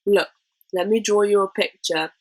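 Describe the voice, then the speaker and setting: A woman's voice: mid-range voice, steady tone, moderate pace, close to an everyday voice, poor audio. A woman voicing an eager, silver-tongued male pirate chief for a storybook, with wheedling salesman patter. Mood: persuasive.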